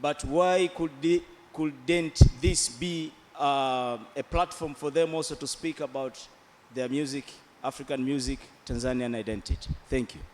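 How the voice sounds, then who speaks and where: A man in the audience making a comment to the panel, halting and pleading. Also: medium pitch. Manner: halting, pleading, with slow stretches. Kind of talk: comment from the floor. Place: large conference hall. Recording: long hall reverb, faint background noise.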